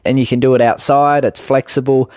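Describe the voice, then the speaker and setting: A man's voice: medium pitch, level tone, fairly quick, a little mellow, no room sound, clean studio sound. A man explaining his views conversationally as a business guest in an interview.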